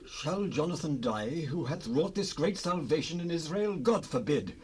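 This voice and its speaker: A man's voice: fairly high pitch, animated, moderate pace, close to an everyday voice. An actor playing a loyal Israelite spokesman in a dramatized Bible recording, firm and declamatory. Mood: indignant plea. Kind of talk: dramatized scripture acting. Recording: noisy cassette rip, ambient sound.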